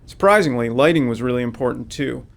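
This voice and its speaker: A man's voice: medium pitch, expressive, quick pace, creaky. A man who makes games, delivering scripted commentary about design decisions. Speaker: game developer.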